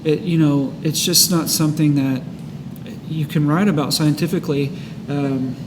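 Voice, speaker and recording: A man in a casual discussion of a book, reading passages and reflecting in a halting way. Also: steady tone. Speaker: host of a recovery book study. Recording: clear background noise with low rumble.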